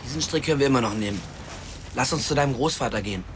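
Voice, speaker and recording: A man's voice: mid-range voice, racing, noisy background, lightly acted. An actor playing a driven, conspiratorial young man in a radio drama, urgent and insistent with his friends. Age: young adult. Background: music.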